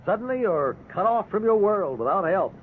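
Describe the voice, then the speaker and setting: An ordinary American man in a 1950s radio drama, rueful and good-humored about his bad luck. His delivery swings with lively intonation. The sound is narrow and tinny, with faint old-radio hiss. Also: high-pitched, normal speed.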